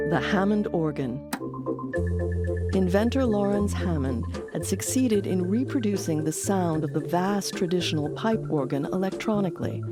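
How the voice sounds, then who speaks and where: A woman narrates a documentary in a low-pitched voice, reading from a script as its host. Music plays clearly behind her.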